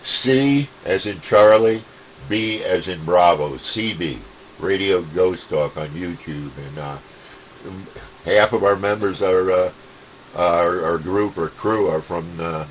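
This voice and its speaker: A man's voice: sits low, unhurried, slight background noise, low-quality recording. An American man from upstate New York chatting casually as a CB radio operator, using call signs and radio jargon. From upstate New York, USA. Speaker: amateur CB radio operator, channel co-host. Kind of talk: casual radio contact chat.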